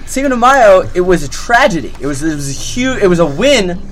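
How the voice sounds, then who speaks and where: A man's voice: sits high, big pitch swings, brisk, a little hiss or hum. A man from Florida with a Cuban family, co-hosting a casual podcast and making his points with emphatic repetition. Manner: emphatic, repeats himself. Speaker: podcast co-host. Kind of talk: casual chat.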